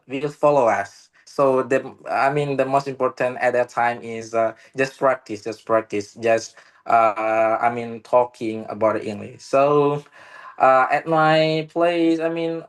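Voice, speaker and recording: Indonesian guy on a video call, talks real slow, lots of uh and restarts, mid pitch. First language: Indonesian local language. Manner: halting, many "uh" pauses and restarts. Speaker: meeting participant. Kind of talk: casual online discussion.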